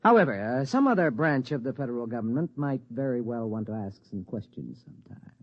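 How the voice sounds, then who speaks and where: Actor as an officious, echoing tax agent. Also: male voice, mid-range voice, lively intonation, lightly acted. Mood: pointed, curious. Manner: measured, formal, condescending. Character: formal, officious male federal tax agent. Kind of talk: mid-century radio drama acting. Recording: dull, roomy old radio recording.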